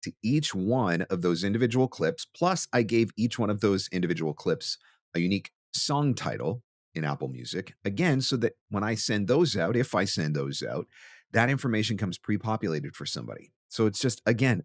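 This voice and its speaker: A man with a very low voice delivers an instructional podcast monologue. He stresses key points with emphatic repetition. The sound is close and very dry, like a treated studio. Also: lively intonation, moderate pace, no echo. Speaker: podcast host and voice actor.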